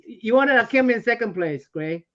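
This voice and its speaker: A man's voice: extremely high pitch, expressive, brisk. A man with a Korean accent chatting casually as a guest on a hangout. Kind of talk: casual chat. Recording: dull lo-fi call audio.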